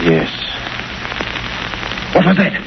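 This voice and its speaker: An actor playing a nervous, gloomy villain's accomplice in vintage radio drama. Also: male voice, slow, natural voice. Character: nervous, gloomy male accomplice eager to flee. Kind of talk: 1930s-40s radio drama acting. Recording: very noisy, muffled vintage radio recording.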